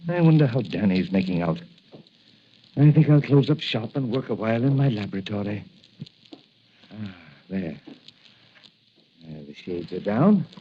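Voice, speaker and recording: An actor playing a kindly, worried apothecary and inventor who mutters to himself, in 1940s radio style on a dull, hissy old recording. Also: male voice, lowish voice. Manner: musing, deliberate, muttering.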